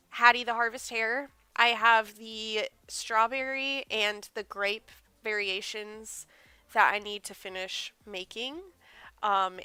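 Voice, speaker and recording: A woman's voice: medium pitch, sharp tone, clean tone, dry recording. A woman, congested from a cold, chatting casually and rambling a little as she hosts a crochet live stream at home.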